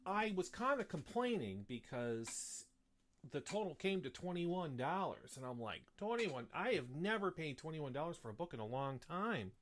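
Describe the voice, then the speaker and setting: A man's voice: fairly high pitch, sing-song, normal speed, fairly bright tone. A man hosting a casual live show, rambling with many fillers and restarts, sniffly with a slight cough. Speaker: host.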